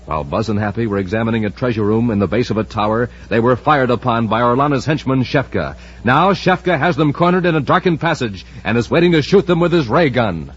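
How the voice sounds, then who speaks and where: A man's voice: medium pitch, animated, fast, hardly any pauses, breathy edge. A man with an American accent announcing a 1950s radio show in a hearty, punchy salesman's style aimed at children. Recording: muffled old radio recording, faint noise.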